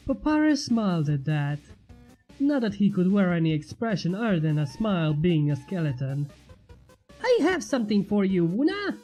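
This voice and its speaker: A woman narrates a fan fiction story. Her voice is mellow and medium in pitch, with lively movement as she reads the scripted prose expressively. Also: rough recording.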